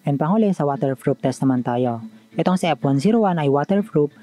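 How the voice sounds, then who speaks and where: A man's voice: on the high side, expressive, a little fast, warm tone, faint music behind. A man with a Filipino accent giving a conversational, scripted voice-over as a tech review vlogger.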